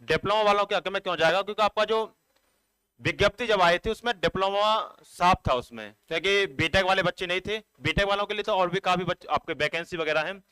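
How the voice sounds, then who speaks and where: A man's voice: high voice, fast talker, sharp tone, clean tone, dry recording, rough recording. A man giving an informal, explanatory exam update as a coaching teacher, addressing students directly in a repetitive, instructive way.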